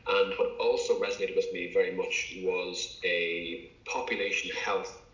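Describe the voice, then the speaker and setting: A man's voice: sits low, fairly even intonation, unhurried, bright, forceful tone. A male doctor from England, a podcast guest, talking in a hesitant way with many fillers and restarts. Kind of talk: casual interview talk. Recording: echoey, dull lo-fi sound.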